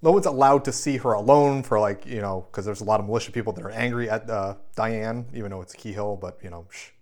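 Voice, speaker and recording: A man's voice: on the low side, fast talker. A man co-hosting a podcast, casually recapping episodes with lots of ums and loose asides. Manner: loose, filler-heavy recapping. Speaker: podcast co-host.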